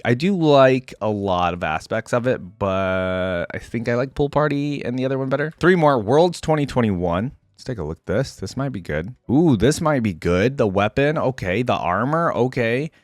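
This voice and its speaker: A man's voice: medium pitch, no room sound, clean studio sound. A man giving casual, informal reaction commentary as a video creator, thinking aloud and picking at details.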